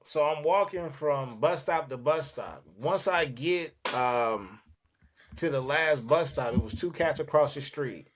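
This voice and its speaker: Man telling street stories, slightly high voice. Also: a little slow, slight background noise. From Los Angeles. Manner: animated, streetwise, profane anecdote-telling. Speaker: podcast guest. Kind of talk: casual storytelling.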